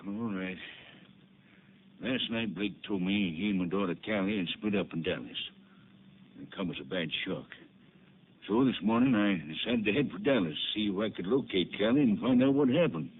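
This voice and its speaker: A weary, defensive middle-aged rancher with a plainspoken drawl. His voice is low and husky. It is heard over a thin, tinny old radio broadcast. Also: lively intonation, moderate pace. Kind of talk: 1950s radio drama acting.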